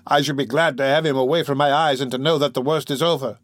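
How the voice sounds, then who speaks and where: A man's voice: medium pitch, a little fast, dry recording, studio quality, close to an everyday voice. A man voicing a bitter, defiant young woman from a criminal gang in an audiobook, with impassioned delivery. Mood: desperate.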